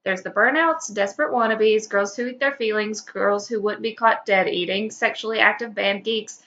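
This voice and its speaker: A woman co-hosting a podcast, informal and expressive, moving between casual chat and reading fan fiction aloud. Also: mid-range voice, moderate pace, dull audio. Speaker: podcast co-host.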